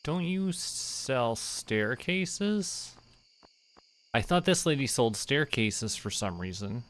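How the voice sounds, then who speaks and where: A man doing relaxed, casual game commentary, thinking aloud and muttering to himself in an unhurried way. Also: medium pitch. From Canada. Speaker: game streamer.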